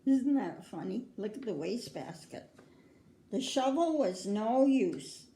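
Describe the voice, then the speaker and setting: An older woman with an American accent reads a picture book aloud to children in a grandmotherly way, pausing to put questions to her young listeners. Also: on the high side, animated, warm tone. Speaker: children's story reader. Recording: roomy, echoing indoor sound.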